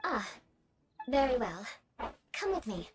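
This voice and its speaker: Haughty, high-voiced young noblewoman. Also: big pitch swings, slow, a crowd in the background. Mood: prim lecturing. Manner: formal, imperious, lecturing, ornate phrasing. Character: haughty young noblewoman, prim, vengeful, awkwardly grandiose. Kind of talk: video game voice acting. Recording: game music behind the voice.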